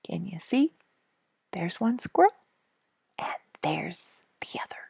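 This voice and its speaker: A woman reading a story aloud to children as a storyteller, expressively and with hushed emphasis. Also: lowish voice, sing-song, slow, vocal fry, breathy edge, no room sound.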